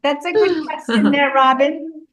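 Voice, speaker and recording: A woman's voice: high voice, unhurried, roomy sound. A woman teacher in a classroom, hosting a video call and prompting her pupils to speak. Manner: prompting children, conversational.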